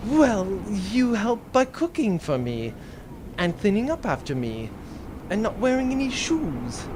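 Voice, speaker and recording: A man's voice: high voice, huge pitch swings, unhurried, darkish tone, slight background noise, full character voice. A man voicing a pompous, chauvinist nobleman with a florid, condescending manner in a comic space adventure. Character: pompous, chauvinist nobleman in love, rebel duke.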